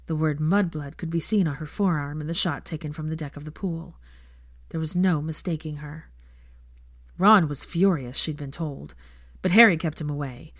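Woman, audiobook narrator, lowish voice, measured, expressive, dry close recording. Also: no room sound. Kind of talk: scripted story narration.

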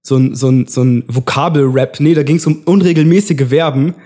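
A man's voice: mid-range voice, fairly even intonation, brisk, mellow. A young man, chatting informally and with slang as a podcast co-host. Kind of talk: casual chat.

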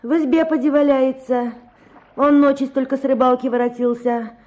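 An actress playing an anxious, lamenting Russian peasant wife in a radio play, with folksy village speech. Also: female voice, sits high, steady tone, moderate pace, a little mellow, close to an everyday voice. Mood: offhand. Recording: dull, noisy old radio play recording.

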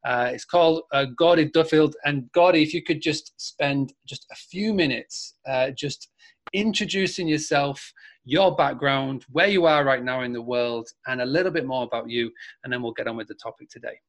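A man's voice: medium pitch, fairly even intonation, normal speed. A man hosting a podcast, reading its intro and asking questions in an upbeat way. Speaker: podcast host.

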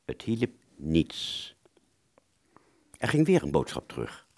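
A man's voice: very deep voice, wildly swooping pitch, slow pace, darkish tone, no room sound. A man narrating a first-person story for an audio play, hesitant and conversational, drawing out words and suddenly stressing others.

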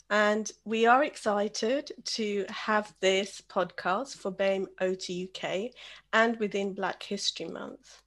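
A British Bangladeshi woman hosting a podcast over a video call, reading an introduction and then handing the floor to each guest. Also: medium pitch. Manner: reads an introduction, then chats and hands over by name. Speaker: podcast host.

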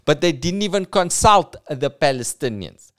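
A man's voice: on the high side, lively intonation, normal speed, no room sound, studio quality. A young man hosting a podcast, telling history in a casual, jokey way with slang mixed in. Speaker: podcast host.

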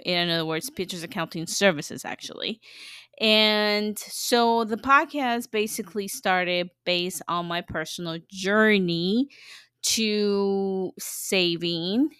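A woman's voice: mid-range voice, takes it slowly, fairly bright tone, smooth, no echo, studio quality. A woman from El Salvador hosting her own podcast, talking in an earnest, chatty way with plenty of fillers and restarts. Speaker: podcast host. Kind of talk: casual personal podcast monologue.

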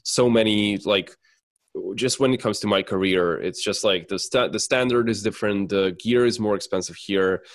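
Low-voiced man from Serbia, halting speech. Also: dry recording, clean studio sound. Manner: halting, searching for words with many fillers. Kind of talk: casual conversation.

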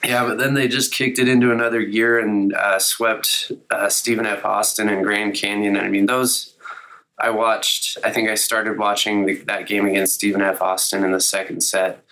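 A young man with a slightly raspy, recovering voice, co-hosting a casual sports chat and talking through results with many fillers. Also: on the low side, level tone, moderate pace, pushed and bright, rough recording. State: slightly raspy after illness. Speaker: co-host of a college sports podcast.

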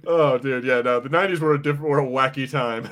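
A man's voice: medium pitch, fast, a bit bright. A man co-hosting a podcast, giving casual watch-party commentary in a chatty, slangy way with some swearing. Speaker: podcast co-host.

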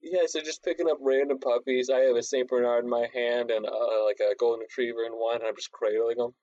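A man's voice: medium pitch, little pitch movement, fast talker, warm tone, dry recording, lo-fi sound. A man playing a tabletop game, chatting casually and poking fun at himself. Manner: self-deprecating, rambling. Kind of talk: casual chat.

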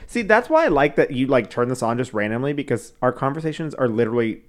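A man's voice: mid-range voice, sing-song, fast. A man co-hosting a casual podcast chat. He rambles, laughs a lot and stumbles through fillers. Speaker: podcast co-host.

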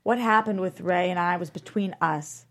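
A woman playing a fierce, wounded young woman in a dramatic table read, forceful, emotional and accusing. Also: on the low side, normal speed, natural voice. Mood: accusing, hurt.

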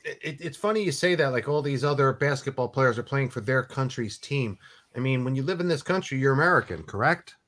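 A man's voice: medium pitch, a little fast. Laughing, chatty male co-host. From Philadelphia area. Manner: animated storyteller, laughs often. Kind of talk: casual chat.

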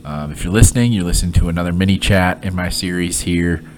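A man hosting a casual solo podcast, sick with a sinus cold and sounding nasal, talking in a halting way with lots of fillers and restarts. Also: deep voice, slight background noise. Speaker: podcast host; former head coach.

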